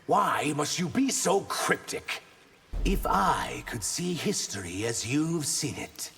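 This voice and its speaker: An actor playing an earnest, guarded young shapeshifting warrior in a video game. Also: male voice, fairly high pitch, expressive, slow, creaky, a bit bright, slight background noise, full character voice. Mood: wary. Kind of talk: video game character dialogue.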